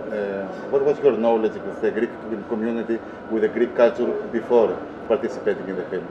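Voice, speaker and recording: A man interviewing a guest, asking halting questions with many hesitations. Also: lowish voice, reverberant. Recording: dull lo-fi sound with clear noise.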